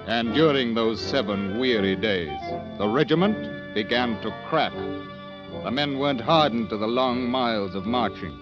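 A man's voice: fairly low pitch, close to an everyday voice. A man playing the grave narrator of a military adventure in a 1940s radio drama. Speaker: story narrator. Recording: dull old radio recording. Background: music.

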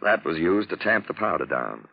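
A suave gentleman detective in an old 1940s radio drama, on a dull vintage recording. His low voice swoops expressively as he lays things out with urbane, witty self-satisfaction. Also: big pitch swings, normal speed, vocal fry, full character voice. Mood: smug, explaining. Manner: urbane, theatrical, drawling explanations in lettered points.

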